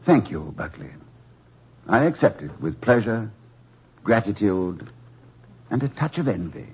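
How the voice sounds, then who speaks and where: A genial, wry professor with a husky, slightly breathy voice whose pitch rises and falls with lively expression. He has a gracious, self-deprecating manner. The sound is dull and lo-fi, like an old radio broadcast. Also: a little slow, heavily acted. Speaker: college professor. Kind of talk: 1950s radio drama acting.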